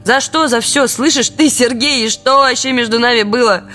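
A woman's voice: fairly high pitch, normal speed, pushed and bright. Actress as wry woman confiding, faint music behind. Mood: indignant. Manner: conversational, ironic. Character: sardonic, indignant Kyiv woman recounting wartime everyday life. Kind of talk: documentary audio play monologue.

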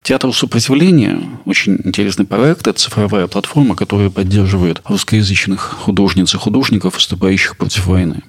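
A man presenting a culture podcast in a narrating style, with a gravelly, husky voice. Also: deep voice, a little fast, hardly any pauses. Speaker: podcast host.

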